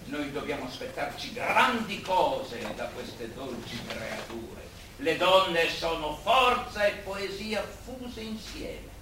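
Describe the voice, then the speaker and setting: A man acting a pompous, idealistic gentleman doctor with flowery, declamatory speech in a radio play. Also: fairly high pitch, lively intonation, takes it slowly. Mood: wistful, lyrical. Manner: declamatory, oratorical. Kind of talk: stage-like radio drama acting. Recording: distant, echoey room with faint noise.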